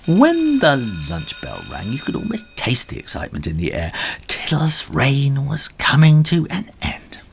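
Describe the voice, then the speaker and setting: A man reading a children's story aloud in a dramatic, animated storybook style. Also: medium pitch, unhurried, gravelly, a little hiss or hum. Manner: dramatic, with acted sound effects.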